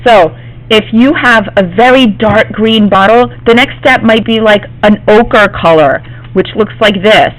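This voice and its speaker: Slightly hoarse, reassuring woman art teacher. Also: medium pitch, a little slow, low-quality recording. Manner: reassuring, checks in with "okay?". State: slightly hoarse, losing her voice. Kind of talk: classroom demonstration. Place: classroom.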